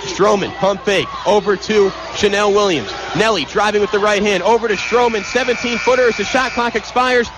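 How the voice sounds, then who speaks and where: Male play-by-play sportscaster, high register, punchy clipped radio calls over heavy crowd noise. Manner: vivid, clipped, rapid-fire calls. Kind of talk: live basketball radio commentary. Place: indoor basketball arena. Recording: loud crowd noise behind, live arena broadcast. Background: loud crowd.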